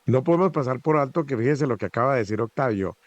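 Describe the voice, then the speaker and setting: A man's voice: medium pitch, expressive, fast talker, no room sound, highs cut off. A man on a casual panel, emphatically explaining points to the others.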